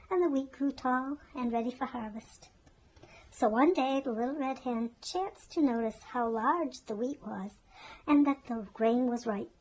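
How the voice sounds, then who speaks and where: A woman's voice: fairly high pitch, darkish tone, rough recording. A woman narrating a children's storybook as a storyteller.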